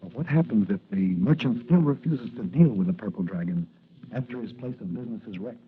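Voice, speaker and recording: A man's voice: lowish voice, animated, a little fast, crowd noise, close to an everyday voice. A man playing an earnest, resolute young American policeman in a 1940s radio drama. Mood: concerned. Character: earnest, upright young rookie police officer hero. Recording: tinny, noisy old radio recording.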